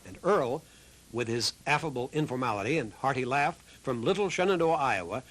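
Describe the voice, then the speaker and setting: A man's voice: medium pitch, lively intonation, brisk, a bit bright, low-quality recording. A man reading scripted narration as the narrator of a documentary. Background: music behind the voice.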